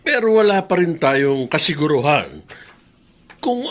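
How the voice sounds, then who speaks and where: A reassuring father in a radio drama, easing his son's panic. His voice sits high for a man and moves in lively swings. He hesitates often and speaks soothingly. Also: a little slow. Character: calm, reassuring older father.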